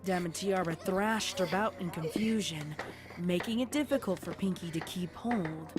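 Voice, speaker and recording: A woman with a slightly low voice narrates a scripted story. Her delivery is that of a dramatic storyteller. Music plays faintly behind her. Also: normal speed.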